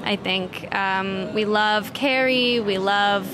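A woman's voice: medium pitch, slow. Young woman with an American accent, crowd noise. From England. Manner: eager, laughing often. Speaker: film director, guest. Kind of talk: casual interview. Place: festival media wall, indoors, busy. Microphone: handheld mic. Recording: noisy crowd around. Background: crowd chatter.

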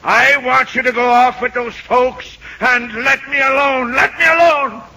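Emotional old lighthouse keeper, bright forceful voice. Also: male voice, very high-pitched, steady tone, unhurried, full character voice. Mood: angry, bitter. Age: older. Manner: old-fashioned seafaring speech, emotional. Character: old lighthouse keeper, gruff, proud, loving, tormented. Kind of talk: 1930s-40s radio drama acting. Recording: noisy vintage radio drama recording.

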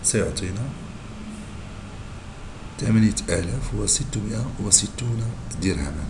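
A male math teacher with a Moroccan Arabic accent, hoarse and husky from a cold, explaining a lesson slowly and patiently and checking in with his students. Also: deep voice, background noise. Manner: slow and patient, checking in with listeners. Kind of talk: screen-recorded math lesson.

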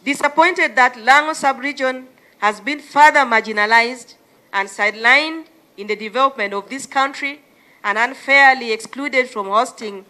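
A woman's voice: sits high, moderate pace, bright, forceful tone, poor audio. A middle-aged woman member of parliament reading a formal statement at a desk mic in a committee room. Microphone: desk gooseneck mic.

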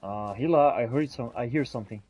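A man's voice: mid-range voice, fairly quick, a little mellow. A man playing a video game in character, talking to companions in old-fashioned phrasing. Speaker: gamer role-playing his character. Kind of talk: in-character game roleplay commentary.

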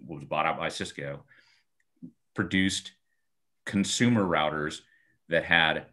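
Guy in his 50s, lowish punchy bright voice, casual tech co-host on a video call, kinda halting. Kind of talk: casual chat, explaining. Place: home room, video call.

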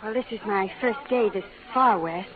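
A woman's voice: medium pitch, moderate pace, crowd noise, close to an everyday voice. A woman playing a sheltered but headstrong young bride from the East in an old radio western, earnest and curious. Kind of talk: 1940s-50s radio western acting. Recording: tinny old radio recording, music behind.

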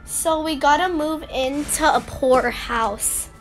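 A woman voicing a gentle, worried mother with a coaxing manner in an amateur game roleplay drama. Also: sits high, slow, faint music behind.

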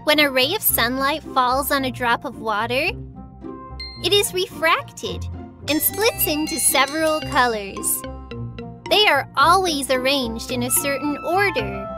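A woman's voice: high-pitched, animated, measured pace, music in the background. A woman voicing a sensible, kindly young girl explaining things in a children's cartoon. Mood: gentle, explaining.

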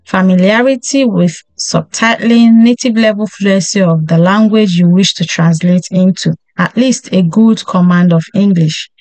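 Woman calmly reading a job listing. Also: mid-range voice, unhurried, hardly any pauses, no echo, poor audio. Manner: reads items in list form, with frequent ums and restarts. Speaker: career tips channel host. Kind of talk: screen-share walkthrough, reading a job listing aloud. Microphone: close desk mic, voice-over on a screen recording.

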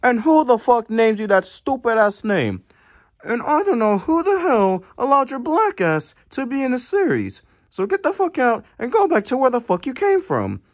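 A man voicing a crude, insulting woman who yells at an unwanted guest, in an improvised roleplay. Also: extremely high pitch, huge pitch swings, normal speed, no room sound, clean studio sound, voice pushed up, full character voice. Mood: hostile.

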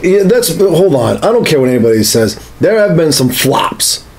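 A man's voice: on the high side, sing-song, normal speed, a little mellow. A man in his thirties chatting casually as a podcast co-host, giving his opinions emphatically. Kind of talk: casual chat. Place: small home studio room. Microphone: podcast mic on desk boom arm.

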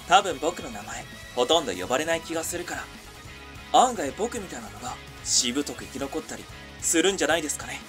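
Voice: mid-range voice, lightly acted. An actor playing a timid, polite, self-deprecating young male villager in a voice drama. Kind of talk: Japanese voice drama acting.

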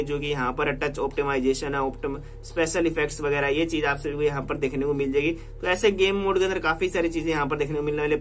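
A man's voice: fairly high pitch, fairly bright tone, slight background noise. A man with an Indian accent narrating a casual hands-on tech tutorial, explaining repetitively and mixing in English words. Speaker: tech vlogger demonstrating a phone feature.

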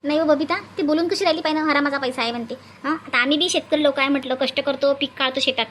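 A woman's voice: high voice, fairly even intonation, very fast, fairly bright tone, a little hiss or hum. A woman voicing an outspoken, bossy farmer's wife in a cartoon, with a Varhadi Marathi accent. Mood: offended. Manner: bossy, argumentative.

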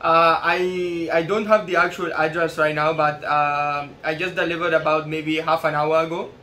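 A man in his twenties making a prank phone call with feigned seriousness, halting and addressing the listener as sir. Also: fairly high pitch, flat delivery, pushed and bright, a little hiss or hum. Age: 20s. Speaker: prank caller. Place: small plain room indoors.

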